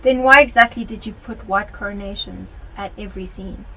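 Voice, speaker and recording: A woman's voice: medium pitch, normal speed, pushed and bright, poor audio. A teenage woman playing a blunt, pressing police detective in an amateur radio play. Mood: reproachful. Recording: light background noise.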